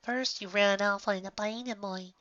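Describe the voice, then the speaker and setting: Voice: mid-range voice, fairly even intonation, normal speed, dry recording, lo-fi sound, voice pushed up, close to an everyday voice. A reader voicing a resentful young filly apparition who bitterly accuses her sister, in a storybook reading. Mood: bitter accusing. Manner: bitter, accusing, rising to shouts.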